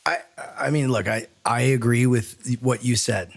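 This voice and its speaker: Male podcast co-host, creaky medium-pitched voice, blunt, skeptical, casual debating style. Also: steady tone, measured pace.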